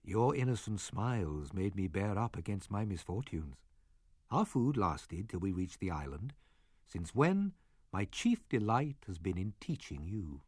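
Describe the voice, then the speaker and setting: A man reading as a husky old sorcerer. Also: deep voice, sing-song, unhurried, darkish tone, no room sound, clean studio sound, full character voice. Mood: tender. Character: aged male sorcerer, stern but loving father. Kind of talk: audiobook drama reading.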